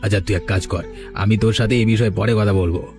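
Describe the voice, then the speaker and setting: Man acting a low-voiced scheming cartoon villain. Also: fairly even intonation, quick pace, darkish tone, natural voice. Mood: coaxing. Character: scheming male village trafficker and his masked boss. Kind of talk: cartoon story dubbing. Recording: music behind the voice. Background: background music.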